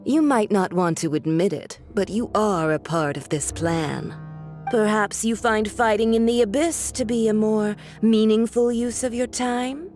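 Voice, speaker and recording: A woman playing a cold, imperious villainess in a video game, speaking in a measured, cutting, condescending way. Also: medium pitch, a little mellow, natural voice. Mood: cold taunting. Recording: music behind the voice.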